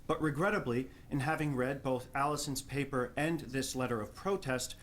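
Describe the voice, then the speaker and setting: A man's voice: medium pitch, moderate pace. A man from New Jersey with a New Jersey accent, giving an articulate, wry prepared talk as an academic respondent at a live event. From Jersey City, New Jersey, USA. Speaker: professor of theology, respondent. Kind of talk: prepared speech read to an audience. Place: live public talk before an audience.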